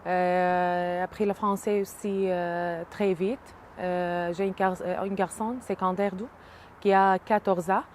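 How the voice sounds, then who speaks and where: A Syrian woman in her thirties whose first language is Arabic, speaking hesitantly with many pauses and fillers in an interview. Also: lowish voice, flat delivery, darkish tone, a little hiss or hum. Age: 30s. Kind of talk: casual interview.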